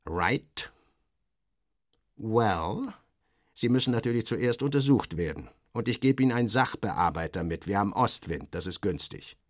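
Man playing a gruff, halting army officer. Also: lowish voice, animated, no room sound, clean studio sound. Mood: brisk. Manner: halting, hemming and hawing. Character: gruff army officer, curt, hesitant, embarrassed.

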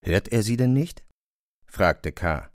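A man narrating a literary radio drama from a script in a measured, restrained way. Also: on the low side, animated.

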